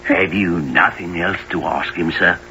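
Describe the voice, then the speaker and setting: An actor playing a stern, dutiful prison guard with a formal, measured manner in a radio play. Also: male voice, deep voice, bright, forceful tone, highs cut off, a little hiss or hum, heavily acted. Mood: grave, firm.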